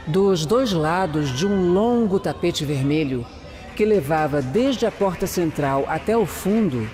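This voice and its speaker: A man's voice: high-pitched, darkish tone. A man with a Brazilian accent playing a methodical detective who narrates an audio drama. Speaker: narrator and protagonist. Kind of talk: scripted first-person narration in an audio drama. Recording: long reverb, music underneath.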